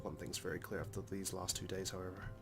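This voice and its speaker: Low-pitched, even-toned man reading dramatically over music. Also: fast. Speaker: let's play narrator. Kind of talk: dramatic reading of visual novel narration. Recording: loud music behind the voice. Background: game soundtrack music.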